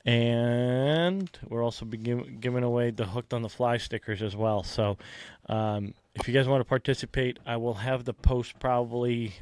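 Casting: male podcast host, mid-pitched, rambling and loose, dry close recording. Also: fairly even intonation, moderate pace, darkish tone, clear, resonant tone, no room sound. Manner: loose, rambling, lots of filler words. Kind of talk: casual podcast chat.

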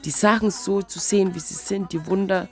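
Woman with a cold, stuffy and wheezy, gravelly low voice, slow reflective vlog musing, faint music underneath. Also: fairly even intonation. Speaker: vlogger. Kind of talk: casual spiritual vlog monologue.

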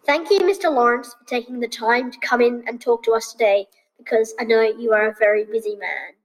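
A teenage girl asks prepared interview questions in a polite, formal way. Her voice is fairly high and has a long reverb, as if she is recorded in a hall. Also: steady tone, brisk, faint music behind. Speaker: student interviewer.

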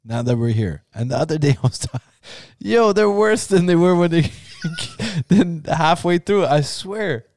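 A man's voice: on the high side, lively intonation, measured pace, few pauses, a little mellow, no room sound, clean studio sound. A man co-hosting a casual podcast chat, joking and teasing his friends. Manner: joking, teasing, says "yo" a lot. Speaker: podcast co-host.

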